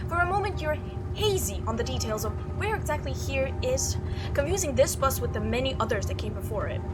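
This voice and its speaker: A woman reading a visual novel aloud as a gaming host, narrating expressively with casual asides. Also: sits high.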